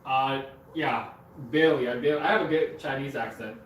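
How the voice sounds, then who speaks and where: A man's voice: medium pitch, fairly quick, distant mic. A laid-back man trades dry remarks on a live stream and swears casually. He sits away from the mic. His voice echoes around the room over faint background noise.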